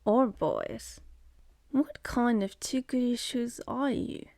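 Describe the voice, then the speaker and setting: A woman's voice: on the high side, lively intonation, takes it slowly, gravelly, darkish tone, dry recording, heavily acted. A woman voicing a sardonic, seductive demon in an ASMR roleplay, mocking and contemptuous, speaking close to the mic. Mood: scornful.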